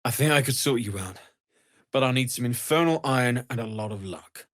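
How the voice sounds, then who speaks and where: An actor playing a kindly, earnest young blacksmith in a fantasy video game, with a husky, breathy voice and an understated manner. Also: male voice, medium pitch, animated, brisk, fairly bright tone, close to an everyday voice. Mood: earnest, helpful. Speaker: blacksmith and trader. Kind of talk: video game character dialogue.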